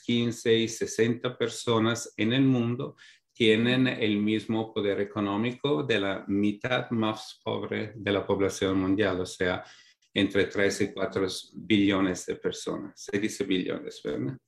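A middle-aged European man with a fairly low voice speaks as an academic guest. He explains things slowly, pausing on fillers and restarting his phrases as he works toward each point. He speaks in a language that is not his first. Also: fairly even intonation, creaky. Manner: measured, thoughtful, many fillers and restarts. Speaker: academic guest, migration researcher. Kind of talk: interview answers, explanatory.

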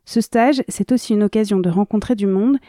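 A woman reporter reading scripted news narration in a measured, composed way. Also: mid-range voice, warm tone.

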